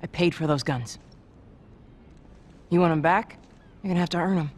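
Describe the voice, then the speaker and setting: A woman's voice: moderate pace, close to an everyday voice. An actress playing a weary, commanding American rebel leader in a video game, a middle-aged woman with a terse, firm manner. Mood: firm, guarded. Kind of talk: dramatic video game acting. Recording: game cutscene with noticeable rumble.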